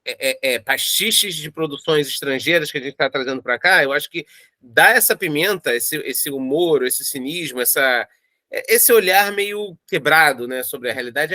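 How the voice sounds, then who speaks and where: Brazilian male, higher register, bright tone, informal interviewee thinking aloud, dry recording. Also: lively intonation, normal speed, dry recording. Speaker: audio drama creator interviewed in a making-of. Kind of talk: casual reflective discussion.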